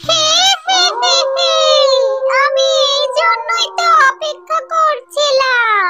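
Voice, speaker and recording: A woman voicing a spiteful, scheming animal villain in a cartoon, with a drawn-out, theatrical delivery. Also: extremely high pitch. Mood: gloating, vengeful. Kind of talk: exaggerated cartoon voice acting. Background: faint music.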